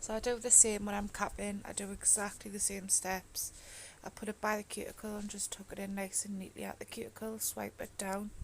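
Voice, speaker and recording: A woman talking through a home nail tutorial in a casual voice-over, husky and half-whispering, as she is coming down with a cold and losing her voice. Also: medium pitch, a little hiss or hum, low-quality recording. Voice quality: gravelly, often slips into whisper.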